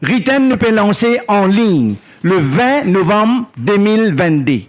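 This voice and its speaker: A man's voice: sits high, sing-song, takes it slowly. A man earnestly announcing the launch of a community project, speaking in plain, simple phrases. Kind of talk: spontaneous announcement in creole-tinged French.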